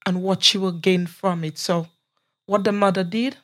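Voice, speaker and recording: A woman's voice: medium pitch, steady tone, moderate pace, fairly bright tone. A middle-aged woman with a mid-range voice guests on a podcast panel. She argues her view firmly and earnestly, drawing on her own life. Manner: firm, earnest, speaks from personal experience. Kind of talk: casual panel chat.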